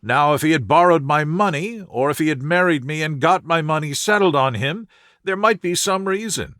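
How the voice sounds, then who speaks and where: A man's voice: a little high, normal speed, fairly bright tone, dry recording, studio quality, voice pushed up, lightly acted. A man voicing a distressed, loyal young woman with an earnest, plaintive manner in an audiobook story. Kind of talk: audiobook character dialogue.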